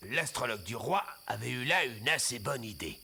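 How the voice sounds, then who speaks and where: A man's voice: medium pitch, normal speed, creaky, slight background noise, full character voice. A man voicing a kindly, whimsical godfather telling a fairy tale in a cartoon, expressively. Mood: storytelling. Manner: expressive, savouring storyteller. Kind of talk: storytelling in an animated film.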